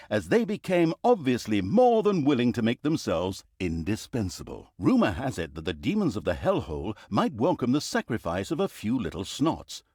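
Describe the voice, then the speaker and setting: An actor playing a sardonic, theatrical narrator of a villainous fantasy video game, telling it like a comic storybook with mock drama and wry asides. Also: male voice, mid-range voice, a little mellow, breathy edge, no room sound, studio quality.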